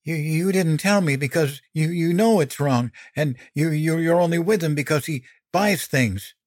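A man's voice: fairly high pitch, moderate pace, darkish tone, no echo, studio quality, voice pushed up, close to an everyday voice. A man voicing a hurt, angry, defiant boy in a dramatic audiobook, agitated and accusing. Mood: accusing, upset. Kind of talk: dramatic audiobook character acting.

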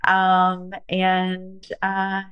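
A woman in her thirties with a Southern US accent, chatting as a guest on a podcast. Her voice sits a little low, and she talks in an easy, rambling way, full of "um" and "like". The sound is close and dry, with no room echo. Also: completely flat delivery, extremely slow, clean tone, highs cut off. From southern United States. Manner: chatty, laughs often, lots of um and like. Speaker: guest, strength coach. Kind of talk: casual podcast interview.

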